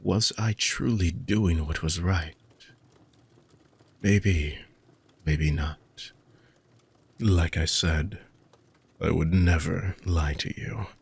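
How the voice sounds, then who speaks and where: A man playing an ancient, weary dark mage villain in a fantasy audio roleplay, husky and gravelly, speaking theatrically with long dramatic pauses. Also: sits low, exaggerated voice. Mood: brooding, bitter. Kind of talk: fantasy audio roleplay monologue addressed to listener.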